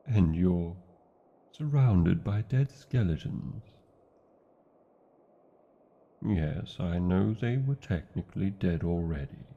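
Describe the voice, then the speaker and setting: A man's voice: low voice, narrow, tinny audio, heavily acted. A man with a British accent voicing a huge, proud, protective dragon lover in a fantasy ASMR roleplay, speaking in formal, theatrical phrases with tender endearments and wry disdain. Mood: relieved, impressed.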